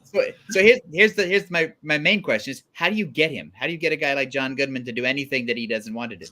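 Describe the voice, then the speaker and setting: A man's voice: medium pitch, fast talker, bright, forceful tone. A man hosting a casual interview in a conversational style. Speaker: host.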